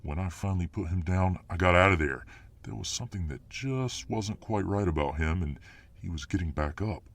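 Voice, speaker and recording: Man acting a very deep-voiced cocky alpha fireman. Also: normal speed. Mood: boastful, confident. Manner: boastful, cocky swagger. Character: dominant muscular alpha fireman boyfriend, boastful, protective, hot-tempered. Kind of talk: ASMR roleplay audio drama.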